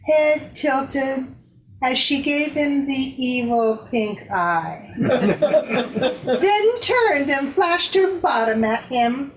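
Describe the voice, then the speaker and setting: High, very slow, expressive woman storyteller. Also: slight background noise, low-quality recording. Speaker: readers theater performer. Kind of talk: dramatic story reading, scripted narration.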